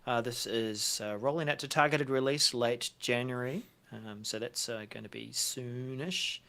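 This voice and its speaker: A man's voice: medium pitch, a little slow, creaky, pushed and bright. A man co-hosting a tech news show in casual, unscripted conversation, phrasing things in short, hesitant pieces.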